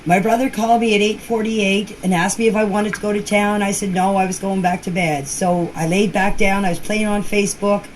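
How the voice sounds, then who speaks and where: A woman's voice: mid-range voice, steady tone, brisk, hardly any pauses. A woman speaking informally and emphatically in voice messages, repeating herself for emphasis and using colloquial, non-standard grammar. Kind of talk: informal voice messages answering questions in a group chat. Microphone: voice clips recorded for a group chat. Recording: noisy informal voice-message recording.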